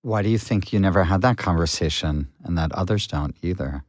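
Male interviewer, very low pitch, dry sound, curious and playful. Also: a little fast, no echo. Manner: curious, lightly joking questions.

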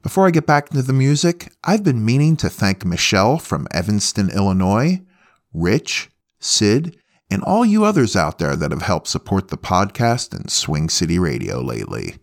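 A man presents a music show in a low voice with a touch of gravel. His pitch swings widely as he talks straight to the listener. The delivery is chatty and playful, in the manner of a scripted radio host. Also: sing-song, normal speed.

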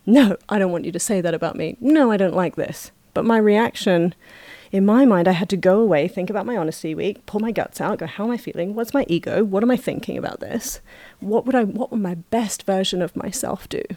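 A woman's voice: medium pitch, fairly quick, hardly any pauses, dark tone, no echo. Dry-sounding woman explaining at length. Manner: reflective, talks at length in long flowing explanations. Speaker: podcast guest. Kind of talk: casual chat.

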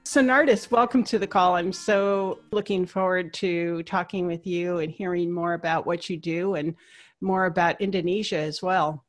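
A woman's voice: fairly low pitch, steady tone, normal speed, soft background music. A woman hosting an interview podcast, moving between scripted introduction and conversational questions.

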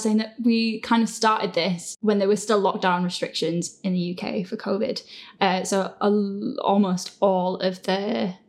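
Giggly woman hosting a chat. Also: medium pitch. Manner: giggly, breaks into laughter while talking. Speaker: podcast Q&A host and show creator. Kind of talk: casual group chat, reading listener questions.

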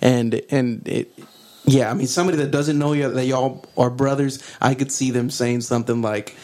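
A man's voice: medium pitch, fairly even intonation, normal speed, a little mellow. A man co-hosting a casual music interview, easygoing and repeating himself as he talks. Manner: laid-back, repeats words, Southern "y'all". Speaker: podcast co-host.

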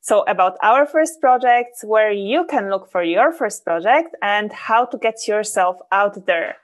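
A woman's voice: medium pitch, expressive, measured pace. A woman co-hosting a podcast, talking enthusiastically with some loose non-native phrasing. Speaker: podcast co-host. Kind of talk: casual podcast chat.

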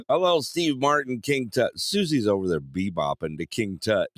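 A man hosting a casual live-stream show, rambling, chuckling and reacting to viewer chat. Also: mid-range voice. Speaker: host.

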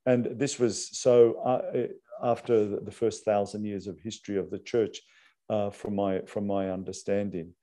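A priest, his voice low and mellow. He explains at length in an unscripted way, pausing on fillers and restarting his phrases. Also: little pitch movement. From Australia. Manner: hesitant, many fillers and restarts.

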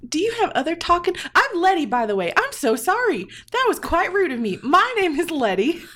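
A woman's voice: very high-pitched, sing-song, a little fast, a bit bright, full character voice. A woman playing a polite, curious adventurer with a Southern accent, asking hesitant questions.

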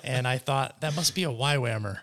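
A man's voice: medium pitch, brisk, a bit bright. Friendly male podcast host asking questions. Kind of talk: conversational interview.

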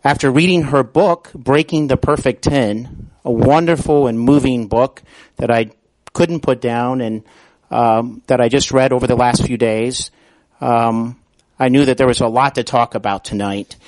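Earnest male interview host, halting, dry sound. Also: mid-range voice, fairly even intonation, a little mellow, no echo, rough recording. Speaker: podcast host. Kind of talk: interview with spoken introduction.

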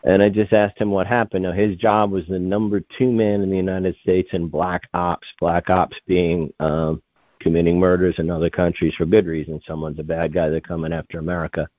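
A man's voice: sits low, level tone, a little fast, a little mellow, no echo, poor audio. A man in his fifties, a guest researcher, talking in a meandering, digressive way that keeps correcting itself mid-sentence. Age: 50s. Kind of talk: rambling conversational interview.

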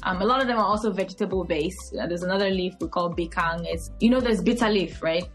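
A woman in her thirties with a Cameroonian English accent talks as a podcast guest. She tells stories expressively and fills pauses with small hesitations. Faint music sits under her voice. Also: lowish voice. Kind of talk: podcast interview, casual chat.

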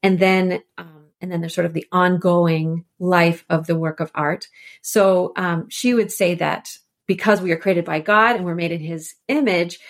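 A woman's voice: lowish voice, fairly even intonation, unhurried. A woman scholar speaking as a podcast guest, explaining ideas thoughtfully and conversationally, often repeating words as she works out a thought.

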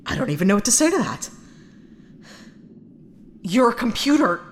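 A woman's voice: a little high, very animated, moderate pace, a little hiss or hum. A woman playing a bossy, exasperated, trigger-happy spaceship captain, curt and impatient, in a sci-fi audio drama.

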